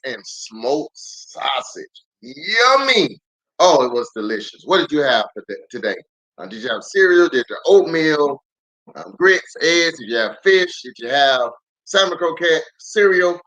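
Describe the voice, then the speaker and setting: A man speaking as a pastor hosting a children's storytime, unhurried and animated, chatting with viewers and drawing out words for emphasis. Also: a little high, low-quality recording. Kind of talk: livestream greeting and read-aloud storytelling.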